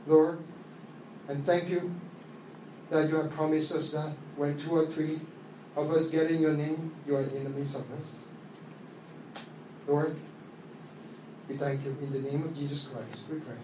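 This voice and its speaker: Man, Chinese native speaker, sermon in English, raised pitch, halting with fillers, echoey room, faint background hum. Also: flat delivery. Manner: halting, many fillers and restarts, earnest. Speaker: preacher. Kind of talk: sermon with opening prayer.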